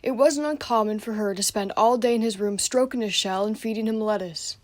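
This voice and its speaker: A woman's voice: medium pitch, brisk, a little mellow, no echo, lightly acted. A young female student playing an aggrieved, jealous, overlooked son in a radio play. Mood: earnest, wistful. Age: teenager or young adult student.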